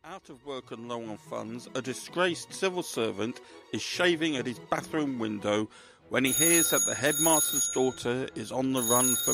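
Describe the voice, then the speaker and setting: Gravelly man reading scripted narration. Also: mid-range voice. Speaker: presenter and narrator.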